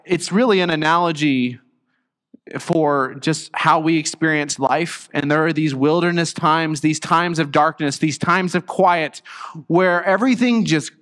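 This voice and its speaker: A man preaching a sermon as pastor, reflective and halting, with frequent restarts. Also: fairly high pitch, fairly bright tone. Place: church sanctuary. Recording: long church reverb.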